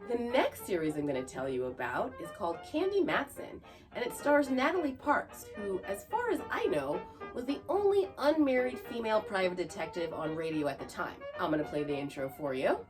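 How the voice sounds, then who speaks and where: A woman's voice: medium pitch, sing-song, fairly quick. A woman hosting a podcast, reading scripted narration with chatty asides. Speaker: podcast host. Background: music.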